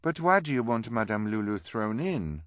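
Storybook circus woman, played by a man: earnest, plain-spoken, animated pitch, dry sound. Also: normal speed, no room sound. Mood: puzzled. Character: earnest female carnival contortionist, easily swayed.

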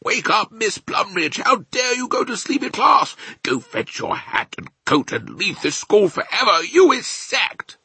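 A man voicing a furious, tyrannical head teacher in a children's story, shouting indignantly. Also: sits high, big pitch swings, unhurried, few pauses, breathy edge, no echo, pitched above the performer's own voice, exaggerated voice.

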